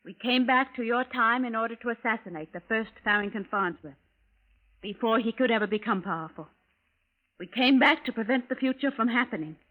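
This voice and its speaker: An actress playing a calm, devoted assassin from the future, speaking in a measured, formal way on a muffled old radio recording. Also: female voice, on the high side, lightly acted. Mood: resigned, tender. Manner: measured, formal, without contractions. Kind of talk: 1940s radio drama acting.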